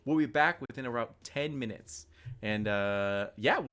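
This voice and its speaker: A man's voice: medium pitch, unhurried, fairly bright tone, smooth. A man hosting a live-streamed tabletop game as game master, chatty and full of fillers, thanking donors.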